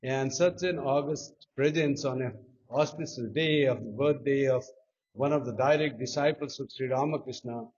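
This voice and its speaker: A monk with a Nepali accent, giving a devotional talk in English with slow, emphatic stresses on key words. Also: male voice, medium pitch, darkish tone, husky. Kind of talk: devotional talk with sung Sanskrit chant. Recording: dull lo-fi sound.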